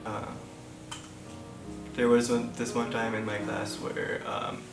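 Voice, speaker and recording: A man from Pakistan, a physics student, telling his story in a soft-spoken, reflective way. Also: medium pitch, little pitch movement, slow pace, gravelly. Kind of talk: documentary interview answers. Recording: echoey room, distant mic, music bed.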